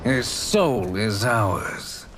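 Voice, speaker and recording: An actor playing a cold, imperious, ruthless warrior in a video game, speaking with deliberate, grandiose menace. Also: male voice, on the low side, animated, over music. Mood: menacing. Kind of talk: dramatic video game villain acting.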